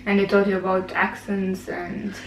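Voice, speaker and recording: A woman's voice: mid-range voice, little pitch movement, moderate pace, gravelly. A young woman from Romania with a Romanian accent, hosting a casual interview and often nodding along with mm-hmms. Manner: friendly, frequent mm-hmms. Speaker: host, YouTuber. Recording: echoey room, faint background noise.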